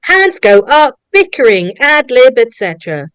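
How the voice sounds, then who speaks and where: Slow, animated woman narrator, dry sound. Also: high-pitched, clear, resonant tone, dry recording. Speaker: narrator of a staged table read. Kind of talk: reading screenplay directions aloud.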